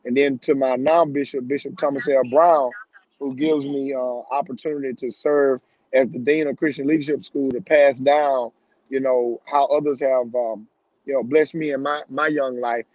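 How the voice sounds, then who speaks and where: A man's voice: medium pitch, steady tone, moderate pace, a little mellow, no room sound, highs cut off. A pastor in his thirties speaking over a video call, earnest and reflective, with many fillers. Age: 30s. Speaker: pastor and panel guest.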